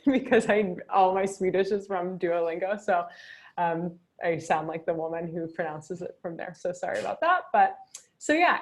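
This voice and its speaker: A woman leading a journaling workshop over a video call, upbeat and chatty, often asking "right?". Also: mid-range voice. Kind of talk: guided reflection on a video call.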